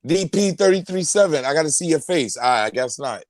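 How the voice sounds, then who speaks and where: A man's voice: fairly high pitch, expressive, fairly quick, dry recording, studio quality. A man hosting a casual live stream who keeps affirming his guest with "salute" and "facts".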